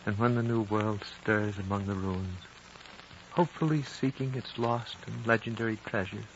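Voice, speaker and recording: An actor playing an ancient, wise and dying high lama in a 1950s radio drama, solemn, fatherly and measured. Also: fairly even intonation, natural voice. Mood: grave, prophetic. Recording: dull, noisy old radio recording.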